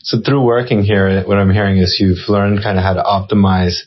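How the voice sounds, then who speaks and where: A man's voice: fairly low pitch, level tone, a little fast, muffled recording. A man hosting a casual podcast interview, encouraging his guest and summing up what she says. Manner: encouraging, affirming, sums up what he hears. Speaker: podcast host.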